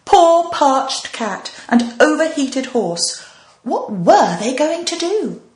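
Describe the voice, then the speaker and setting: A middle-aged woman reading a children's story aloud, with dramatic, deliberate emphasis. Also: high voice, very animated, darkish tone, roomy sound. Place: small decorated room indoors.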